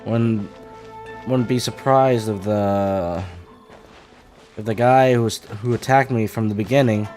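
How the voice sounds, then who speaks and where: A man's voice: lowish voice, fairly even intonation, measured pace, pauses often, a little mellow. A man giving casual, off-hand, slightly hesitant commentary as he plays through a game. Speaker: game streamer. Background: game music.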